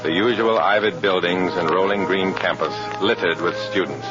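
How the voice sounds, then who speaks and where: An actor playing a guarded, sober undercover agent, narrating and acting in an old radio drama. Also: male voice, deep voice, moderate pace, close to an everyday voice. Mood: tense, brooding. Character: guarded, tense male undercover agent posing as loyal communist. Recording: dull old radio recording, music behind.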